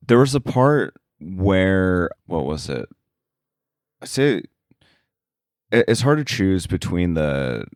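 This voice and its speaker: A man speaking casually as an interview guest about his work, thinking aloud in a halting way. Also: deep voice, a little mellow, clear, resonant tone, no echo, clean studio sound. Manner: halting, thinking aloud, frequent filler likes. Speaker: sound editor, interview guest.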